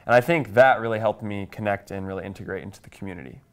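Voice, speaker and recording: American guy in his 20s chatting as an interview guest, smooth clean voice, a bit low. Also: rapid-fire. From Kansas, United States. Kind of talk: conversational interview answers. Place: small TV studio, indoors. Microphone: lapel mic.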